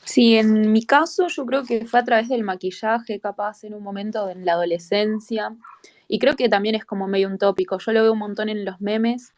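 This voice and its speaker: A woman's voice: mid-range voice, fairly even intonation, normal speed, darkish tone, no echo. A woman giving reflective commentary as a discussion guest, stringing her thoughts loosely together with hedging asides. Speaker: panel guest.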